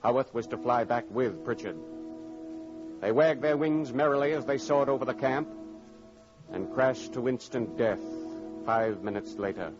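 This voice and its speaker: A man narrates a vintage radio drama. His delivery is formal and dramatic, like a 1940s announcer, in a middling pitch. The recording is muffled, with music clearly behind him. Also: moderate pace.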